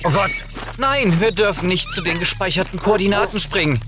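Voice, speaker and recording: A man's voice: high voice, lively intonation, fairly quick, over music, voice pushed up. Audio-story physicist, put on by a male narrator: panicky man, flustered, faltering, raised voice. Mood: panicked. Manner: desperate, faltering.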